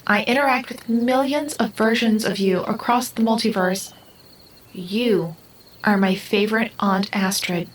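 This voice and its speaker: Formal, calm half-human house intelligence played by a woman. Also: medium pitch, measured pace, slight background noise, lightly acted, rough recording. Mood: gently earnest. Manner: formal, precise, clinical diction. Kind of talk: audio drama acting.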